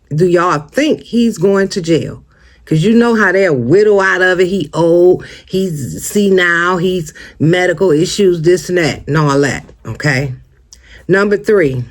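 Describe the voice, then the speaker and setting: An American vlogger giving casual, opinionated commentary straight to viewers, emphatic and conversational, often posing rhetorical questions. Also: mid-range voice. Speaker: host of a true-crime commentary channel.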